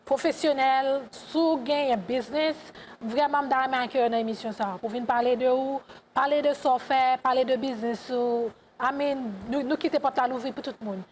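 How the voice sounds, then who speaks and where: A woman with a high voice hosts a talk show and interviews her guest. She speaks haltingly, restarting her sentences and switching between French and English. A faint noise runs underneath. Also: steady tone, darkish tone. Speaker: host.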